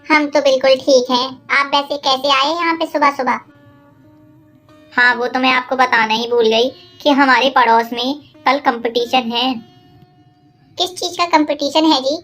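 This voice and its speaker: A woman's voice: high-pitched, steady tone, a little slow, a bit bright, faint music behind. A woman voicing polite, chatty young women of a neighbourhood in a cartoon moral story, explaining things politely.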